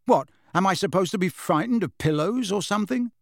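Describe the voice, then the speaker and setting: A man's voice: high-pitched, expressive, fairly quick, gravelly, dry recording, clean studio sound, pitched above the performer's own voice. A man voicing a sarcastic, defiant teenage boy wizard with a taunting manner in an audiobook story. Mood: nonplussed.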